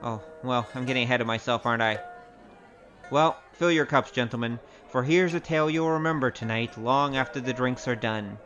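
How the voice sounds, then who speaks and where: A man's voice: medium pitch, fairly quick, a bit bright, lightly acted. A male actor playing a roguish, wry storyteller with a grandiose, theatrical manner in a comic audio drama. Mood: teasing, relishing. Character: roguish, boastful storyteller, wry poor adventurer. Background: music.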